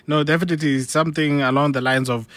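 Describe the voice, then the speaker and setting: A man's voice: fairly high pitch, steady tone, quick pace, a bit bright, no echo. Hesitant male radio interviewer, dry sound. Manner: hesitant, many "uh" fillers.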